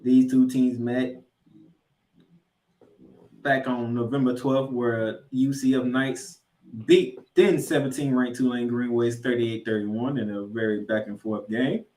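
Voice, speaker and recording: A man co-hosting a sports podcast, previewing games and picks with drawn-out, emphatic announcer-style introductions. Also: medium pitch, fairly even intonation, darkish tone. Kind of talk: college football game previews and picks. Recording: roomy, echoey sound.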